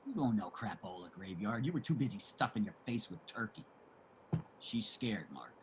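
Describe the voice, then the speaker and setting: An actor playing a brash, boastful, crude homeless ex-sailor with a rough voice in a play reading. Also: male voice, on the low side, lively intonation, moderate pace. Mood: defensive, taunting. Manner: combative, crude street talker. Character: brash, boastful, gossiping homeless ex-merchant-marine man. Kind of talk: theatrical table reading of a stage play.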